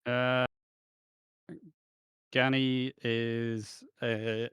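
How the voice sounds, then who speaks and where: A man's voice: mid-range voice, flat delivery, drawn-out words, a bit bright, clear, resonant tone, no echo. Rambling British man, dry close sound. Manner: rambling, opinionated, frequent restarts. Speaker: podcast co-host. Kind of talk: casual chat.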